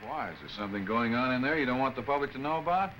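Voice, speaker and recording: A man's voice: mid-range voice, lively intonation, very fast, lo-fi sound, a little hiss or hum, close to an everyday voice. An actor playing an unflappable, probing newspaperman with a wry, articulate manner, in a 1940s film serial style. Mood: cool, probing.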